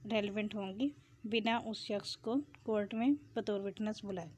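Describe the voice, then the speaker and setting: A woman with a Pakistani accent teaches in a very even, low-variation voice with a soft, mellow tone. She explains as a lecturer does, dropping English legal terms into her sentences. A light noise sits behind her. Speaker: law lecturer.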